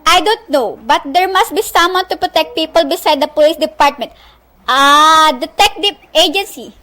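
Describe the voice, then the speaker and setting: A young woman playing a frantic, nervous, demanding married woman in an amateur radio play, with halting, stumbling delivery. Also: high-pitched, pushed and bright. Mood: desperate, demanding. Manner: halting line delivery, stumbling over words.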